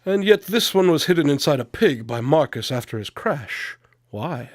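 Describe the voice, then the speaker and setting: A man playing a shrewd, unflappable detective in a radio drama, measured and knowing as he confronts a suspect. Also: fairly high pitch, lively intonation, no room sound, studio quality. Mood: cool, probing. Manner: measured, knowing, coolly accusatory. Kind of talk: amateur radio drama acting.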